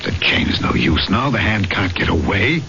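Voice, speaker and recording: A man's voice: deep voice, big pitch swings, normal speed, creaky, pushed and bright, dull audio, slight background noise, full character voice. A man acting in an old radio mystery, playing a grave, haunted and impatient French magistrate who also narrates, with theatrical delivery. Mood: uneasy awe. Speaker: narrator and lead character. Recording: band-limited vintage radio drama with music behind.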